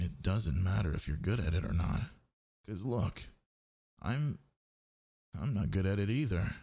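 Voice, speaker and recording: Needy, teasing boyfriend for intimate audio roleplay, male actor: slow, mellow, breathy, murmured coaxing. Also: deep voice, full character voice. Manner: coaxing, murmuring, drawn-out words. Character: sleepy, needy, teasing gamer boyfriend, young man.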